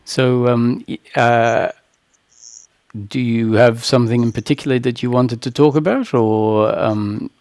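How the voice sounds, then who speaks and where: A man with a creaky voice hosting a live online question-and-answer talk, speaking in a halting, deliberate way. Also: mid-range voice, steady tone, a little mellow, no room sound. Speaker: host, spiritual teacher.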